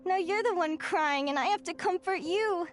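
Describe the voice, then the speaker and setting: A young changeling girl from a fantasy game, in an extremely high, girlish voice. She is sweet, earnest and perceptive, every bit the little sister. Music plays underneath. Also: normal speed. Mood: tender, reassuring. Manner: earnest, gentle, girlish delivery. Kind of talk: video game voice acting. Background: background music.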